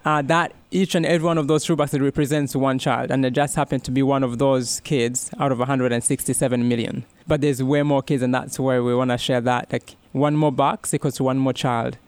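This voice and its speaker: A man's voice: mid-range voice, steady tone, brisk, hardly any pauses, clear, resonant tone, no room sound. A man in his thirties giving an earnest, heartfelt personal testimony as a guest in a radio interview, with frequent restarts. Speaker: radio guest, ministry worker.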